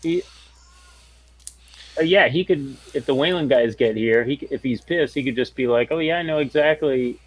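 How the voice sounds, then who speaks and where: A man's voice: medium pitch, moderate pace, low-quality recording. A man talking earnestly as a tabletop role-player, explaining and rephrasing as he goes. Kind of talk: earnest in-character planning talk.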